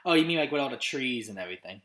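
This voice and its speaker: A man's voice: medium pitch, animated, very fast, fairly bright tone. A man from Romania chatting casually as interviewer, stammering and hesitant with lots of fillers. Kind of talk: casual chat.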